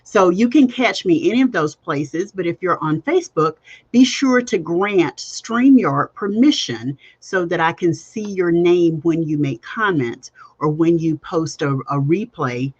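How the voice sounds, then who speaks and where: A woman's voice: lowish voice, animated, unhurried, a little mellow, breathy edge. Emphatic woman podcast host, dull audio. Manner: emphatic, repeats key phrases, direct questions to listeners. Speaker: podcast host and business coach. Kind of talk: livestreamed coaching talk.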